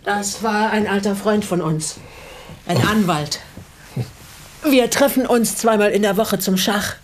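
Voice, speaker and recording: An actress in a naturalistic radio drama playing an older East German widow who was once a prisoner, speaking deliberately and pointedly. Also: female voice, mid-range voice, noisy background, close to an everyday voice. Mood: friendly, brisk.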